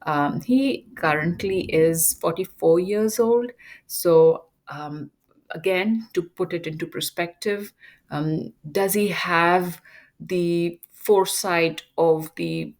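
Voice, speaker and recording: Low-voiced woman giving a measured talk. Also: expressive. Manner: measured, frequent ums and uhs. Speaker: educator presenting a topic. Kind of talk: unscripted lecture monologue.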